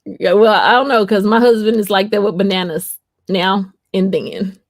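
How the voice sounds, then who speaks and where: A woman's voice: mid-range voice, fairly even intonation, normal speed, dry recording, clean studio sound. A woman hosting a live stream, chatting casually with her guest, thanking him and reading out viewer comments. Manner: warmly thankful, reads viewer comments aloud.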